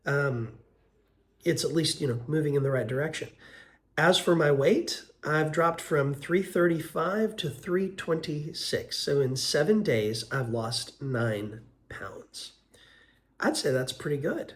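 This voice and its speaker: Man, 50s, hoarse with a cold. Earnest and unhurried, casual vlog delivery with lots of fillers. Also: on the high side. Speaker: vlogger. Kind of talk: casual weight-loss update vlog.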